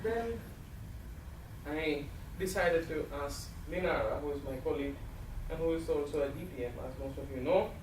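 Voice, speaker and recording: A man's voice: a little high, moderate pace. A man in his thirties with an Indian accent, giving a humorous farewell speech to a speaking club in an office meeting room. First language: not English; English is his third language. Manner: storytelling with comic timing, animated gestures. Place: large office meeting room with windows and a projection screen. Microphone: far camera, no mic. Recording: echoey room from far off, audience noise.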